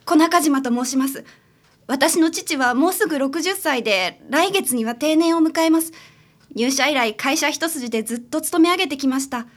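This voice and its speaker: A polite, troubled young client in a radio drama, played by a woman. Her voice is high, and she explains herself earnestly and a little hesitantly in formal phrasing. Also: normal speed, close to an everyday voice. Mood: wistful. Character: polite, troubled adult daughter seeking a detective's help. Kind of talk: amateur radio drama acting.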